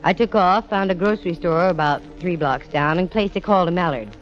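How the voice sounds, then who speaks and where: Low-voiced brash actress as a lady private eye. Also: brisk, soft background music, natural voice. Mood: wry narration. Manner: breezy, slangy, wry delivery. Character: brash, wisecracking young female private detective. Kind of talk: 1940s-50s radio detective acting. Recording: muffled vintage radio broadcast.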